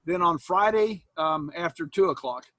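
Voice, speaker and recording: A man's voice: a little high, normal speed, fairly bright tone, no echo. Folksy joking man in his sixties. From Iowa, USA. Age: 60s. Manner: folksy, joking, laughs often. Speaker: college instructor. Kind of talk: casual chat with students after an online class. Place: home, indoors, on a video call. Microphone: computer mic on a video call.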